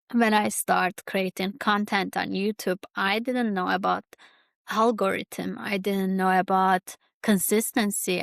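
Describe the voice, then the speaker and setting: A young woman talks slowly through a personal story, her voice carrying a gravelly fry. She keeps a fairly level tone and stops often, trailing off and restarting. The sound is close and dry. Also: mid-range voice, dry recording. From Kurdish region of Turkey. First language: Kurdish. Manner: hesitant, many fillers and restarts. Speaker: podcast host.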